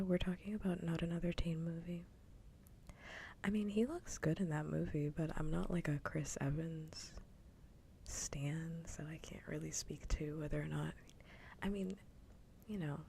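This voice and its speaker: A woman streamer chatting casually in a soft, breathy whisper, rambling along in ASMR style on a livestream. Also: sits low, fairly even intonation, unhurried, slight background noise. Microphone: close ASMR microphone.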